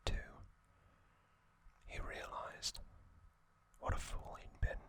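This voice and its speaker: A man whispers an ASMR recap with no voiced tone at all. His delivery is very slow and deliberate, broken into short phrases with pauses. The whisper is breathy and crisp on top, staying even throughout. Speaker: ASMR host.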